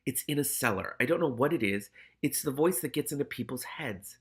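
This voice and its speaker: Man voicing a shifty, uneasy cat, swooping pitch. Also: lively intonation, fairly quick, natural voice. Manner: stammering, hedging when cornered. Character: shifty cat, evasive, uneasy, guilt-ridden.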